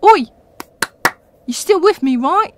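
A woman's voice: extremely high pitch, lively intonation, slow pace, background noise, exaggerated voice. A British woman playing a sarcastic, bossy, impatient magical female character in a comedy audio drama, brash and slangy. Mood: exasperated.

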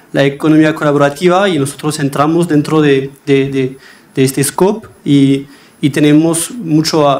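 A young man gives a panel answer in a large wood-panelled chamber, his voice somewhat high. He hesitates constantly, stacking fillers and repeating words, close to a desk microphone. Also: darkish tone. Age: young adult.

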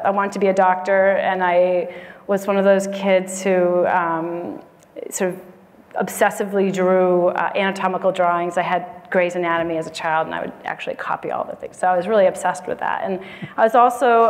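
A woman in her thirties from the United States, a medical writer chatting as a guest on a live podcast, with frequent laughs and fillers. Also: fairly low pitch. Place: conference stage before an audience. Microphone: lapel mic. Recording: long hall reverb.

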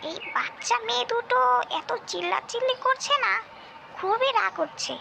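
A hungry female tree spirit from a cartoon folk tale, acted by a woman. The voice is extremely high and creaky, grumbling to itself and repeating phrases with menace. The track has clear noise behind it. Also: lively intonation, moderate pace, heavily acted. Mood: irritated, threatening.

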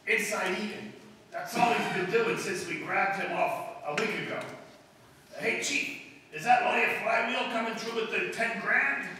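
A jumpy, dim-witted gang henchman, acted by a man with a very bright, sharp, fairly high voice. Distant and echoey, with long reverb and faint noise. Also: normal speed. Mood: jittery. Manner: jittery tough-guy talk. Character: nervous, dim male henchman. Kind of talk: live stage recreation of 1930s radio comedy. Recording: echoey room from a far camera.

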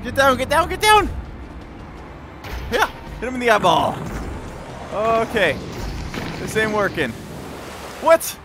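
A man giving excited live gameplay commentary in short, exclamatory bursts, talking to himself as he plays. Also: very high voice, takes it slowly, pauses often. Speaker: gamer playing and commenting. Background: game music.

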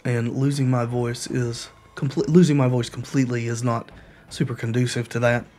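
A man's voice: mid-range voice, flat delivery, moderate pace, darkish tone. A man commentating his own online card game, explaining card rules and then muttering along with the plays while he recovers from a cold. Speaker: gameplay commentator. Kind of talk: casual gameplay commentary.

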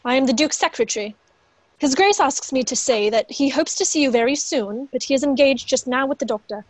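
A woman's voice: sits high, fairly quick, no echo, rough recording. A young woman playing a polite, efficient secretary to a duke, formal and courteous in a play reading. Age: young adult. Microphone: earphone headset on video call.

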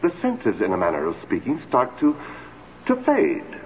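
An actor playing the urbane ghost of a late husband in a radio drama, theatrical, wry and articulate. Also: male voice, medium pitch, huge pitch swings, normal speed. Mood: musing, weary. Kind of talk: old-time radio drama acting. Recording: dull, noisy old radio broadcast.

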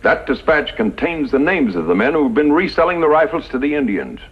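A man playing an authoritative army commander on the frontier, giving a formal, measured briefing in a 1950s radio western. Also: on the high side, very animated, heavy background noise. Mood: formal, grave. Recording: thin phone-like vintage radio recording.

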